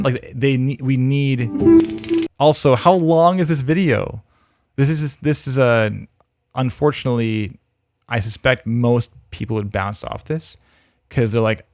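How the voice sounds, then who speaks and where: A man's voice: medium pitch, dry recording. A man hosting a livestream, casually thinking aloud as he gives frank critique of demo reels on a close mic. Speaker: host reviewing demo reels.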